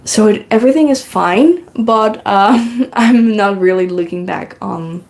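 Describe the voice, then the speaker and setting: Dutch-native lady rambling on a live stream, slow easy pace, bit of vocal fry. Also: mid-range voice, a little mellow. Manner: rambling, chatty, reads and thanks chat comments. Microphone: good podcasting microphone.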